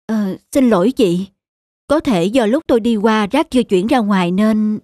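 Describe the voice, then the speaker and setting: A woman's voice: mid-range voice, normal speed, dry recording, clean studio sound, natural voice. A woman voicing a humble, kindly middle-aged street cleaner in a cartoon drama, polite and apologetic. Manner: polite, apologetic, soft-mannered. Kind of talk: cartoon moral-drama dubbing.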